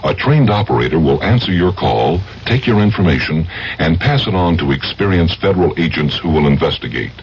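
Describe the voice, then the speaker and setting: A man's voice: low-pitched, animated, a little fast. A man reading an earnest, emphatic scripted public service announcement on 1970s radio. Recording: muffled 1970s radio broadcast, faint noise.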